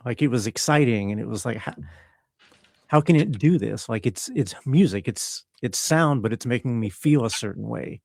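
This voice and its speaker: A middle-aged man chatting casually as an interview guest, thoughtful and halting as he searches for words. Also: medium pitch, animated, gravelly, a little mellow. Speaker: interview guest, composer and audio engineer. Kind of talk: casual reflective chat.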